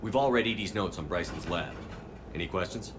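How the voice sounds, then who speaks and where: A resolute space-war commander, acted by a man, speaks in a low voice. He is clipped and businesslike, giving direction with steady authority over background game music. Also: a little fast, natural voice. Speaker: squad leader. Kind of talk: video game dialogue acting.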